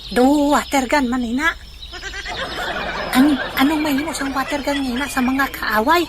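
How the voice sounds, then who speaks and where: A woman's voice: high voice, fairly even intonation, normal speed, background noise, natural voice. A woman playing an eager, comical uncle in an audio drama, with a Filipino accent and an exclamatory, repetitive delivery. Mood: eager, curious. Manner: exclamatory, repeats phrases.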